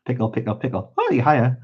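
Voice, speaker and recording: A man's voice: mid-range voice, warm tone, slightly rough, highs cut off. A man with a stuffy nose hosting a livestream, chatting casually and giggling with viewers as he colors. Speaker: livestream host.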